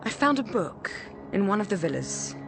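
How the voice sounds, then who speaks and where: An actress playing a hardened renegade space explorer in a radio drama, idealistic yet ruthless, speaking gravely and deliberately. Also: female voice, mid-range voice, very animated, noisy background. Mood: earnest, reverent. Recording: music behind the voice.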